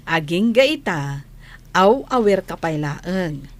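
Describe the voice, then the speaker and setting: A doting older nanny in an Ilocano radio drama. She speaks with an Ilocano accent in a fairly low voice, patient, tender and motherly. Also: very animated, drawn-out words, heavily acted.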